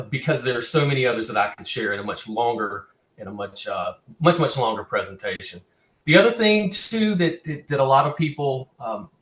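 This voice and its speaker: A man's voice: mid-range voice, fairly bright tone. A middle-aged man with a Southern US accent giving an informal online lecture, often repeating words as he goes. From American South. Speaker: guest presenter, cemetery conservation consultant. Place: online video call, echoey room. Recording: roomy, echoing webinar audio.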